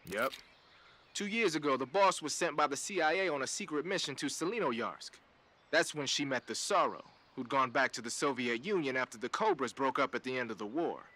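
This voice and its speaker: A man's voice: medium pitch, animated, fairly quick, sharp tone. An actor playing a laid-back, streetwise male tech specialist in a video game, talking casually with slang. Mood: casual, informative.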